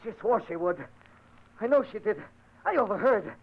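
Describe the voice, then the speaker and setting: A man's voice: high-pitched, expressive, moderate pace. An actor playing a mad aristocrat tormented by his dead sister, frantic and paranoid, swinging to menace. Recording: muffled hissy old radio recording.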